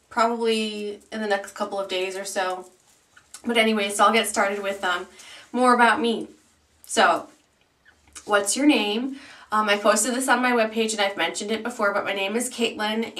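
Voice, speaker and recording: Young woman in her twenties, casual vlogger, bright clear tone, chatty and rambling, slightly distant camera mic. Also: medium pitch. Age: 20s. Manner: chatty, rambling with many ums and likes. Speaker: YouTube beauty vlogger. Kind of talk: casual vlog chat.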